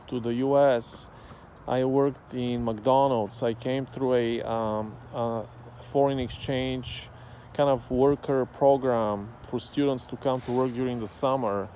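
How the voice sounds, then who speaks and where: A man from Bulgaria whose first language is Bulgarian, talking casually and thoughtfully with many pauses and fillers. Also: mid-range voice, a little mellow, a little hiss or hum. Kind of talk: casual talk to camera.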